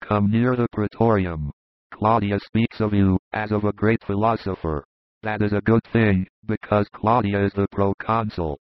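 A man voicing a jovial, confident Roman centurion in an audiobook, in a low, confiding, easygoing voice. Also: steady tone, moderate pace, no room sound, lightly acted. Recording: dull lo-fi dry recording.

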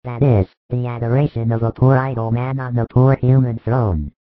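A man voicing a calm, resolute male teacher who speaks in a measured, firm way. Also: medium pitch, dry recording, narrow, tinny audio, natural voice. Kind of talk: audiobook reading.

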